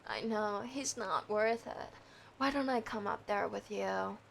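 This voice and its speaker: A woman reading a young woman caught between her partner and her lover, in an amateur script read-through. Her voice sits at an everyday middle pitch, and she delivers the lines plainly and flatly, without much color. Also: unhurried, creaky, slight background noise. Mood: sweet. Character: young woman torn between two men.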